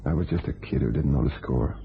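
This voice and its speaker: A scheming, hardboiled ex-con in a crime radio play. His voice is extremely low and full of gravelly creak, with tough, terse phrasing. It comes through thin and tinny, like an old radio. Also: quick pace, slight background noise. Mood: confiding. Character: Rusty Connors, scheming hardboiled male ex-convict. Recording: tinny band-limited old radio, music behind.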